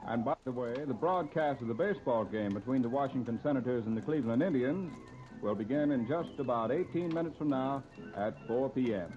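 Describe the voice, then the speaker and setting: A man's voice: medium pitch, lively intonation, a little fast, over loud music. An American man announcing and reading ads on 1930s radio with a polished, punchy showman's delivery. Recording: dull lo-fi 1930s radio broadcast recording.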